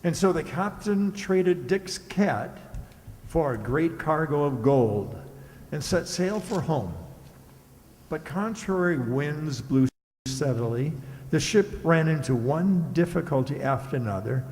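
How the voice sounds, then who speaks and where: A man's voice: on the high side, animated, takes it slowly, darkish tone. A man narrating a fairy tale as the storyteller of a scripted children's radio play. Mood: storytelling. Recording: reverberant hall with faint noise.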